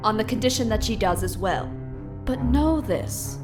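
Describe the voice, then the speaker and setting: A woman playing a composed, haughty princess ruler in a theatrical comic dub, formal and sharp-tongued. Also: on the high side, lively intonation, moderate pace, over music, close to an everyday voice. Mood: firm, warning. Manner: formal, biting delivery.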